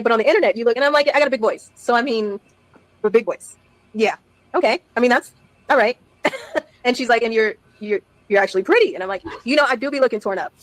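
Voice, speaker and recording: A chatty woman tells a story, laughing often and acting out each side of the talk. Her voice sits a little high. The sound is dry and close, with no room around it. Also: no room sound, poor audio. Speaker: guest telling a story. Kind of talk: casual storytelling on a live stream.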